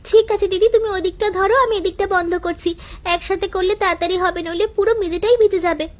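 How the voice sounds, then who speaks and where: A woman's voice: very high-pitched, steady tone, fast. A woman voicing a cheerful, eager young daughter-in-law in a cartoon.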